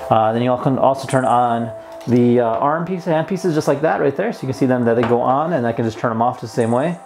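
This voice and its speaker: A man in his twenties walks viewers through a gadget in a casual, easygoing way. He sounds mildly enthusiastic, with clear music playing behind his voice in an indoor room. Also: medium pitch, fast. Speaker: host of a toy review channel. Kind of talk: casual hands-on product demo. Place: plain indoor room at a table.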